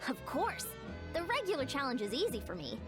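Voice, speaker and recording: A woman's voice: sits high, wildly swooping pitch, fairly quick, music in the background. A woman voicing an encouraging, confident young female athletic trainer in a video game. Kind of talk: video game character voice acting.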